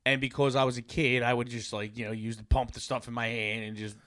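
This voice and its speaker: A man's voice: mid-range voice, flat delivery, fast talker, a bit bright, no echo, studio quality. A middle-aged man hosting a podcast in casual, candid conversation with some offhand swearing. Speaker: podcast host. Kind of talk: casual chat.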